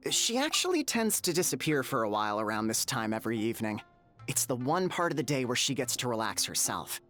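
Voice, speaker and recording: A man's voice: medium pitch, big pitch swings, brisk, fairly bright tone, faint music behind. An actor playing a polite, helpful townsman in a video game, explaining things courteously.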